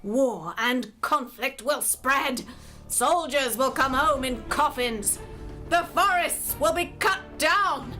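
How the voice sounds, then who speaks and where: A hideous old-tale hag, voiced by a woman, bitter and accusing. She declaims in a high voice, portentous and scolding, as in a theatrical drama, with music clearly underneath. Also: slow, bright, forceful tone, full character voice. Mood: dire, prophetic.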